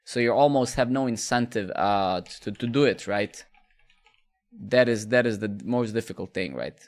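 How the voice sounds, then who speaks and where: A man's voice: on the low side, moderate pace. A man, chatting casually with viewers during a live coding stream, rambling and repeating words. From Serbia.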